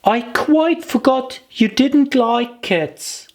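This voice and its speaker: A woman's voice: sits high, animated, very slow, a little mellow, voice pushed up, full character voice. A woman voicing a polite, curious young girl in a children's audiobook, earnest and chatty in manner. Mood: apologetic.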